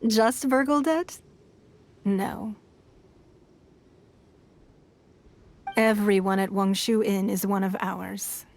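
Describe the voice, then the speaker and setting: A woman's voice: mid-range voice, fairly even intonation, takes it slowly, a little hiss or hum. A woman playing an elegant, shrewd and powerful merchant ruler in a video game, speaking in a stately, composed, formal manner. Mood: amused, knowing. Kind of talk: video game cutscene acting. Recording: music playing behind the voice.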